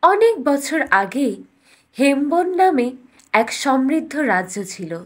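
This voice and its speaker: A woman with a fairly high voice narrates a fairytale. She delivers it as a scripted bedtime story, in the role of storyteller. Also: big pitch swings, slow pace.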